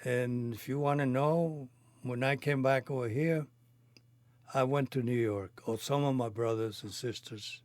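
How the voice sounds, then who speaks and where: A man in his eighties from Cuba, a native Spanish speaker with a Cuban accent, recounting his life in halting, non-native phrasing. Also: medium pitch, fairly even intonation, darkish tone, no room sound. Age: 80s. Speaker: interviewee telling his life story. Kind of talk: reminiscing conversation.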